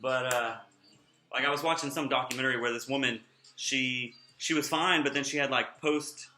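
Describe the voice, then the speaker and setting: A man's voice: mid-range voice, steady tone, normal speed, pushed and bright, echoey room. A young man vlogging in a casual, rambling way, sounding nasal and slurring his words a little. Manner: rambling, off-topic, chatty.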